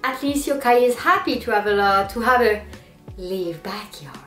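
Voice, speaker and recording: A young adult woman with a French Canadian accent, whose first language is French, hosting a casual improvised vlog from a small room, pausing often with fillers and short laughs. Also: medium pitch, expressive, a bit bright, reverberant, soft background music. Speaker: cosplayer vlog host. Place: small indoor guest room with manga shelves.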